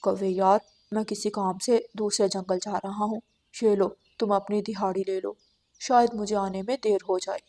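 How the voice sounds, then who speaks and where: Friendly pigeon gentleman for a kids' cartoon, earnest, middling pitch, voiced by a woman. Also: level tone, moderate pace, lightly acted. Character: polite, friendly male pigeon neighbour. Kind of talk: Hindi children's cartoon dubbing.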